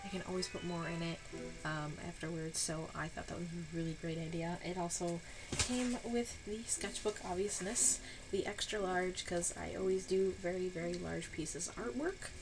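A woman's voice: on the low side, moderate pace, music in the background, poor audio. Tired nasal young woman vlogger. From Buffalo, New York. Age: 20s. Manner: rambling, apologetic, chatty. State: tired, getting sick. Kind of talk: casual haul vlog. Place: dark room at home.

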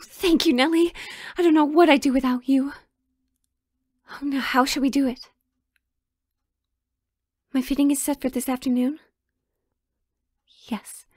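Woman acting as young princess in a romance audio drama, high voice, slow n hesitant, old-timey formal talk. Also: darkish tone, no room sound, clean studio sound. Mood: grateful, hushed, then scheming, nervous. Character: pleading, sheltered young royal woman in forbidden love.

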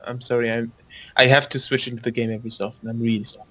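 A man's voice: mid-range voice, little pitch movement, racing, a bit bright, no echo. Wry young man chatting. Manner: wry, laughing asides. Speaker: co-reader. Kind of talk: casual commentary chat.

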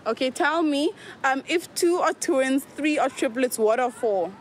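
Bright high-voiced woman quizzing passersby. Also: animated. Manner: upbeat, quick questions, frequent thanks. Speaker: street interviewer. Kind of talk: street quiz interview. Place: outdoors on a street. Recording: street recording with faint noise.

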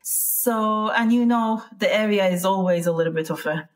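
A woman's voice: mid-range voice, moderate pace. A woman from Turkey with a Turkish accent, talking casually as an interview guest and often searching for words. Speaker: interview guest, piano teacher.

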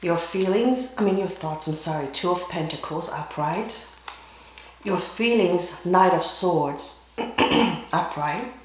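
Woman, hoarse voice, tarot reading, throat-clearing, very echoey room. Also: fairly low pitch, a little hiss or hum. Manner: halting, clears throat and coughs often. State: hoarse, losing her voice. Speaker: tarot reader. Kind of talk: pick-a-card tarot reading. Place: indoors at a table with candles and crystals.

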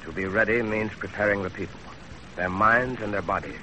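An actor playing a fervent young revolutionary student, declamatory and earnest, in a 1930s radio drama on an old recording. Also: male voice, fairly low pitch, fairly quick, sharp tone, a little hiss or hum, low-quality recording.

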